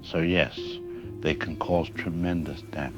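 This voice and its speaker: A man playing a careful, deliberate wildlife expert doctor interviewed on the news in an audio drama. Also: low voice, steady tone, over music. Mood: measured concern. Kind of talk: broadcast interview acting.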